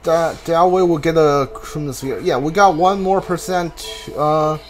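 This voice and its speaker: A man giving casual video game commentary, thinking aloud haltingly and repeating his words as he plays. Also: fairly high pitch, steady tone. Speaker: gamer, playthrough host. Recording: game music behind the voice. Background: video game music.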